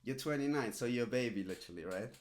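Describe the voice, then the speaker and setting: A man's voice: mid-range voice, lively intonation, fast talker. Rambling streamer man. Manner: rambling, filler-heavy, repeats "right?" and "literally". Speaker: streamer coaching a viewer. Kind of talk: casual live-stream chat.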